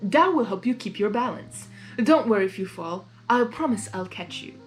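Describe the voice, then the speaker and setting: A woman's voice: mid-range voice, expressive, normal speed, soft background music, natural voice. A woman voicing a playful, theatrical male creature of chaos from a cartoon fan story, teasing and doting. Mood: encouraging.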